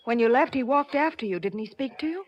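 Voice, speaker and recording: A frightened woman with a gangster past played by an actress, her voice fairly high. She speaks in anxious, halting pleas, with the melodrama of vintage radio crime shows. The recording is dull and muffled. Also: animated, soft background music, natural voice. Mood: anxious, pleading. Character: frightened, pleading woman, gangster's former girlfriend. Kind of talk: 1940s radio crime drama acting.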